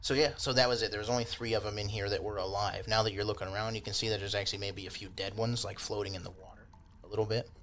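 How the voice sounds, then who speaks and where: Male game master, lowish voice, casual improvised narration, slight background noise. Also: fairly even intonation, quick pace, a bit bright. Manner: informal, loosely improvised descriptions.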